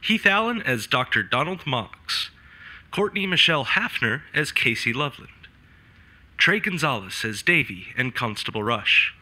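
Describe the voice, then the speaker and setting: A man's voice: mid-range voice, very animated, moderate pace, sharp tone. A man reading the closing credits as announcer for a radio drama.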